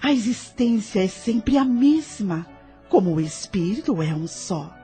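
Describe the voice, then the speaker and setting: Actress as serene female spirit guide, Brazilian accent, soft sweet mellow voice, unhurried, patient, audio drama, faint music behind. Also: medium pitch, animated, close to an everyday voice. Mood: serene, instructive.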